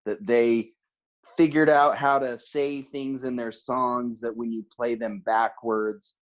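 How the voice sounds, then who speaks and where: A man's voice: mid-range voice, fairly even intonation, slow pace, dull audio. A man on a podcast panel, explaining and debating in casual conversation.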